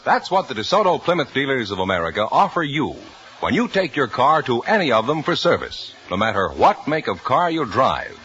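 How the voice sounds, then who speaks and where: A man working as a radio announcer, reading an ad and the game rules in a crisp, booming delivery. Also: big pitch swings, brisk, a bit bright. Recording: dull old radio recording.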